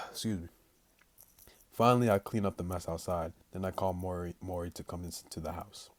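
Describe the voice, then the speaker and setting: A young man hosting a gaming video, reading visual novel lines aloud in a casual, halting way. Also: sits low, no echo. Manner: halting, stumbling reader.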